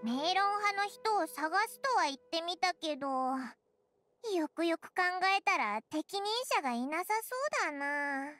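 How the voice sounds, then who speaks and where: A woman voicing a small, childlike fairy companion in an anime game, with a squeaky voice and blunt, boyish, casual speech. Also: lively intonation, unhurried, fairly bright tone, faint music behind. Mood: pondering, grumbling. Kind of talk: Japanese anime game voice acting.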